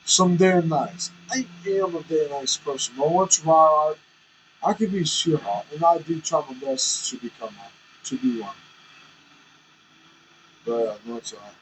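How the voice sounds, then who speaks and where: A man's voice: on the high side, animated, normal speed, a little hiss or hum, low-quality recording. A man vlogging candidly from the cab of his semi truck, rambling and self-conscious as he chats to viewers. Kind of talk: casual chat while driving.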